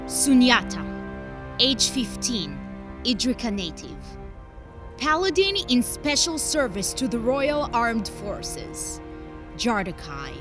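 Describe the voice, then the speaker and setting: Woman playing a teen girl soldier, high voice, lively pitch, formal, deliberate. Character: teenage girl soldier, fifteen, earnest. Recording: music bed underneath.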